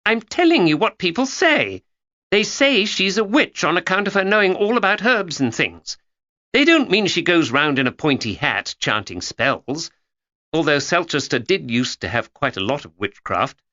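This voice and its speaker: A man's voice: fairly high pitch, sing-song, normal speed, sharp tone, no room sound, highs cut off, pitched above the performer's own voice. A man voicing a chattering, know-it-all schoolgirl who lectures and teases. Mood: gossipy. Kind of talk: audiobook character voice.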